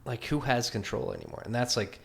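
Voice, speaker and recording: A man's voice: mid-range voice, huge pitch swings, a little fast, gravelly, breathy edge. A man in his twenties hosting a podcast interview, talking loosely and rambling, with lots of "like". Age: 20s. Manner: loose, rambling, heavy use of "like". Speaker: podcast host. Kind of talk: casual conversational interview.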